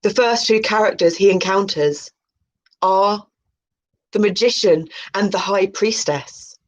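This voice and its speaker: Slow-paced woman on a dull, lo-fi recording. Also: medium pitch. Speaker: video host. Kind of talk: reading aloud about tarot with chatty spiritual asides. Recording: muffled, dull lo-fi sound.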